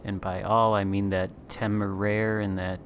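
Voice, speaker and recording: A man chatting casually as a guest while playing a naval battle game, talking in a smooth late-night radio voice. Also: lowish voice, level tone, normal speed, noisy background. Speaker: guest content creator. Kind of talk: casual gameplay chat.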